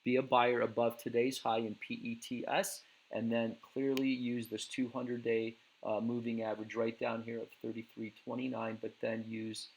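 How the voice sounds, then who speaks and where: A man hosting a casual stock market recap, talking in a relaxed way with many fillers and restarts, and losing his voice. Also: medium pitch, normal speed, vocal fry, hardly any pauses, husky, low-quality recording.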